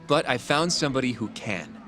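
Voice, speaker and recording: A man's voice: medium pitch, lively intonation, brisk, music in the background, a crowd in the background, close to an everyday voice. A man acting as an earnest, polite young lawyer colleague in a video game drama, articulate and insistent. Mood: earnest, coaxing.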